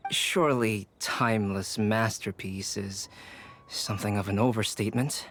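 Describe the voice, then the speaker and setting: A man voicing a calm, cultured young alchemist in a video game, speaking in measured, courteous, formal phrases. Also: lowish voice, faint music behind, natural voice. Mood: polite interest. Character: calm, cultured young male alchemist and artist, politely thoughtful.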